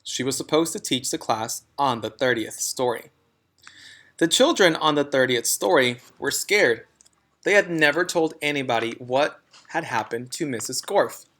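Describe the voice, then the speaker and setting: A man's voice: mid-range voice, bright, forceful tone. A man reading a children's story aloud in a scripted narration style. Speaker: storybook reader.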